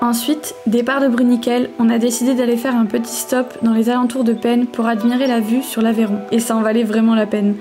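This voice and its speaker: Female narrator, even informative read, slightly high, guitar bed. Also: brisk, few pauses. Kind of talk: scripted voice-over narration. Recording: voice-over over guitar music.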